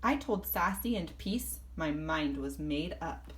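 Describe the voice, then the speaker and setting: Animated woman reading a children's story. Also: low-pitched. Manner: animated, deliberate, acting out the story. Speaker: storybook reader. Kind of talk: expressive children's read-aloud, first-person story narration.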